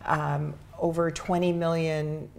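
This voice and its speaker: Middle-aged woman, American accent, lowish pitch, frequent vocal fry, earnest reflective storytelling, interview guest. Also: little pitch movement, slow pace. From New York City, United States. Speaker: interview guest, charity founder.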